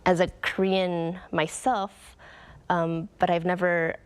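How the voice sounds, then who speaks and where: Young canadian woman, lowish voice, talks thoughtful and earnest, lots of um and like. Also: level tone, takes it slowly, clear, resonant tone. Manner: earnest, reflective, frequent "um" and "like". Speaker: author guest. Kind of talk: conversational interview answers.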